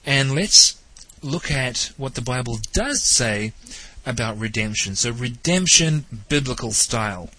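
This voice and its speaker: A man's voice: mid-range voice, lively intonation, measured pace, few pauses, sharp tone, dry recording, poor audio. A man hosting a talk show, sounding nasal and stuffed up from hay fever, with a wry, questioning manner as he comments on theology. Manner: wry, questioning, lightly teasing. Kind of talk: spoken theology commentary monologue.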